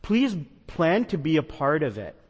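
Man, pastor, earnest conversational sermon, somewhat high pitch, long church reverb. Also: very animated, a little slow, highs cut off. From New York metro area. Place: church sanctuary.

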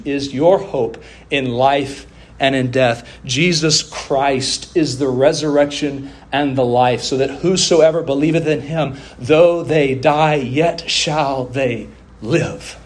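A man delivering a sermon as a preacher, with emphatic oratory, deliberate pauses, drawn-out phrases and rhetorical refrains. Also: a little high. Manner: rhetorical questions and repeated refrains.

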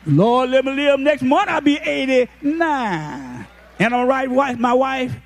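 A man in his eighties, a pastor, preaching a folksy, impassioned sermon that rises to shouted peaks. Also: very high-pitched, animated, takes it slowly. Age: 80s.

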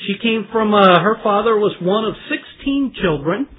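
A man in his forties giving an animated, emotional testimony as a lay preacher, with big leaps in pitch and moments close to tears. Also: normal speed, husky. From Trinidad. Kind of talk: personal testimony sermon. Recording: tinny phone-like sound, faint hiss.

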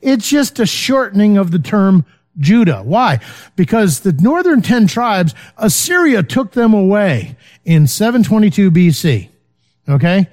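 High-voiced man teaching Bible study. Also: sing-song, measured pace, darkish tone. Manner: informal, many fillers, checks in with "okay?".

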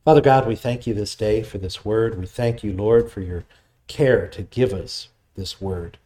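A man preaching, reading scripture aloud, praying, then explaining the passage in a measured, teaching way. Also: mellow. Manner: measured expository preaching, voice drops low in prayer. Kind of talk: sermon with scripture reading and prayer.

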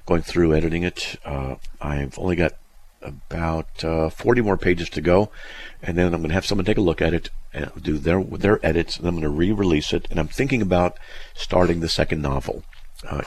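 A man's voice: a bass voice, a little hiss or hum. A middle-aged man hosting a talk radio show, rambling conversationally with many "uh" fillers into a studio mic. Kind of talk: solo talk radio monologue.

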